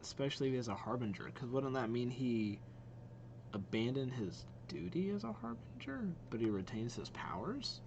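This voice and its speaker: A young adult man hosting a reaction video with casual, expressive, informal commentary. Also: medium pitch, moderate pace, noisy background.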